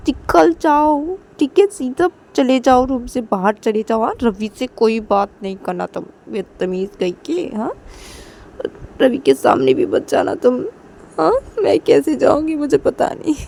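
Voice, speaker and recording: Woman doing a furious young woman, shrill. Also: slight background noise, lightly acted. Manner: shouting, pleading, tearful. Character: furious young woman, sister, shouting and sobbing.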